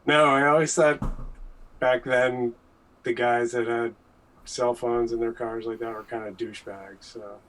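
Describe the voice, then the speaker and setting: Man, medium-pitched voice, casual conversational guest. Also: level tone, a little slow, fairly bright tone, rough recording. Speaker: talk show guest. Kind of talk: casual chat.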